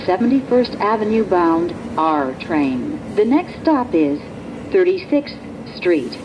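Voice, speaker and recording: A woman reading scripted automated transit announcements with measured enunciation, recorded inside a rumbling subway car. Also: very animated. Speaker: train announcer. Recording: roomy, distant, loud train noise.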